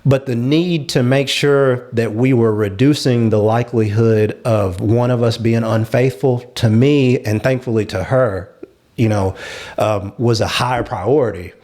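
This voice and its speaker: Male panelist, medium-pitched voice, frank and explanatory, casual conversational delivery. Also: unhurried, a little mellow.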